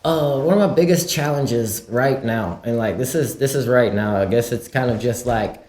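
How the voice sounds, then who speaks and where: A man's voice: mid-range voice, normal speed, a little mellow, reverberant. A man from Louisiana and Mexico with a mixed accent, a podcast guest telling stories in loose casual talk full of "like"s and restarts. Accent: Louisiana-Mexican mix. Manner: loose storyteller, many "like"s and restarts. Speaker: guest, skateboarder.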